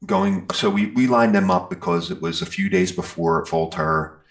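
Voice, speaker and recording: A man speaking as a musician guest in a casual interview, telling stories in a rambling, halting way. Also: sits low. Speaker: guest, metal band singer and guitarist. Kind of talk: casual interview chat, recounting festival gigs.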